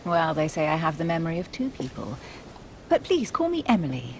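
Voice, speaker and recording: A woman's voice: wildly swooping pitch, fairly quick. A woman playing a poised, flirtatious and enigmatic duchess in a video game, refined and teasing. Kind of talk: video game cutscene acting. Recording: constant rumble behind the voice.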